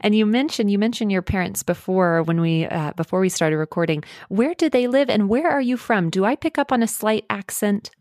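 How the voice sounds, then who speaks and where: A woman's voice: mid-range voice, lively intonation, fairly quick, few pauses, darkish tone, no echo, studio quality. A woman hosting a casual interview podcast, reacting effusively with plenty of exclamations. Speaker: podcast host.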